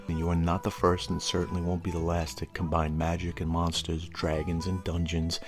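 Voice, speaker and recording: A middle-aged man hosting a tabletop gaming explainer, reading prepared lore in a wry, informal way with casual asides. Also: deep voice. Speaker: host of a tabletop gaming channel.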